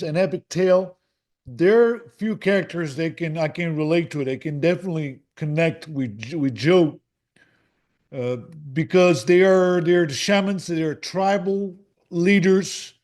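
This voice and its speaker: A man hosts an author interview with plain enthusiasm. His voice sits a little high for a man, and his phrasing often stops and restarts before he finds the words. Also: measured pace, a little mellow. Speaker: podcast host.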